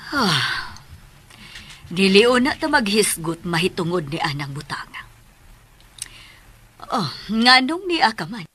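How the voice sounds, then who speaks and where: Middle-aged mother, Filipino accent, radio drama, husky, slow deliberate delivery, patient, weary. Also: mid-range voice, very animated, sharp tone, exaggerated voice. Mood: guarded, gentle.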